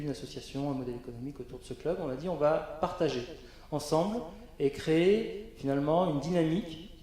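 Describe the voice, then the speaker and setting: A man's voice: on the high side, dark tone. A man presenting on a conference panel, hesitant, with frequent fillers and restarts. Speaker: panel speaker. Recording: very echoey conference hall.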